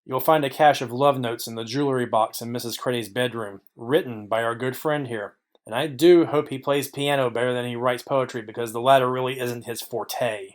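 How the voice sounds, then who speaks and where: A man acting as a cool noir private eye in a mystery audio play, in a medium-pitched voice. He lays things out deliberately, with confidence and wry wit. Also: fairly even intonation, lightly acted. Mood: assured. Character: cool, clever male private detective.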